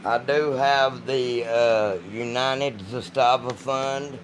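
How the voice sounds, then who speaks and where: Rough-voiced man over background noise. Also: fairly even intonation, slow. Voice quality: deep, rough voice from vocal cord polyps. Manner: folksy, joking, foul-mouthed. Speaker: livestream host reading and answering chat. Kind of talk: casual livestream chat.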